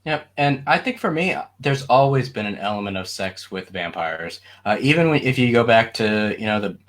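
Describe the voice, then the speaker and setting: A man's voice: lowish voice, lively intonation, normal speed. A man talking casually as a panelist, informal and rambling in his delivery.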